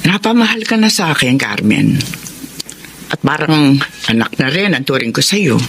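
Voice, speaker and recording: A woman's voice: low voice, very animated, normal speed. A woman playing an older, kind and frank motherly landlady in a Tagalog radio drama. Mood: affectionate. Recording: faint background noise.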